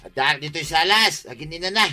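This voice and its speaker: A man acting an excitable, complaining village husband in a radio comedy drama, with an Ilocano accent. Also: a little high, fairly quick, music in the background, lightly acted.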